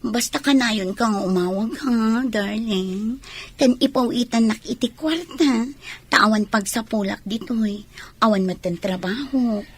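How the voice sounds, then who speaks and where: A woman's voice: a little high, a little slow, slightly rough. A woman acting as a scheming, jealous mistress in an Ilocano radio drama, with a coaxing, gloating delivery. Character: scheming mistress, sweet-talking her soldier lover, jealous and sly.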